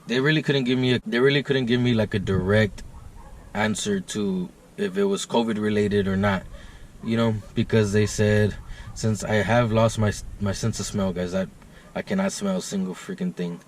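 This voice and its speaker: A man's voice: fairly low pitch, fairly even intonation, normal speed, slight background noise. A man talking casually to camera as a fishing vlogger, laid-back and rambling, sometimes congested. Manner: laid-back, rambling, says "guys" often. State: congested, stuffed sinuses. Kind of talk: casual vlog talk to camera. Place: outdoors by a river.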